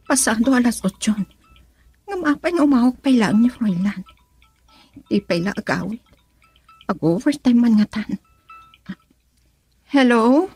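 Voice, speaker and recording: A woman's voice: a little high, lively intonation, measured pace, pauses often, dark tone, husky, faint music behind, exaggerated voice. A woman playing a loving young wife, plaintive and tender, in an Ilocano radio drama. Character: loving, wistful young wife, hurt by her father.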